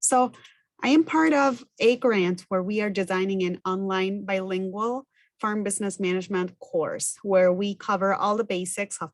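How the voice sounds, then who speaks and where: A woman's voice: mid-range voice, no room sound. Spanish-accented woman presenting, dry sound. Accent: Argentine Spanish. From Argentina. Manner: earnest, methodical, lists items one by one. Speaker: farm business educator. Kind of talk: recorded self-introduction presentation.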